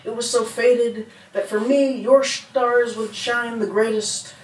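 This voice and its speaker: A woman's voice: a little high, steady tone, slow pace. American woman reading her poems at a mic, sounds kinda far off and roomy. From United States. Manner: rhythmic, rhyming delivery with small laughs between poems. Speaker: poet. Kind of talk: spoken word poetry reading. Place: bookstore reading room. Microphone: standing mic, filmed from a distance. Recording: roomy sound from a distant camera.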